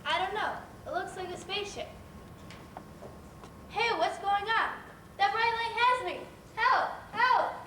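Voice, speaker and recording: A woman's voice: extremely high pitch, a little slow, pauses often, sharp tone, exaggerated voice. A woman playing a mischievous, easily frightened young girl in a children's stage play. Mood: alarmed. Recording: roomy, distant stage recording with faint noise.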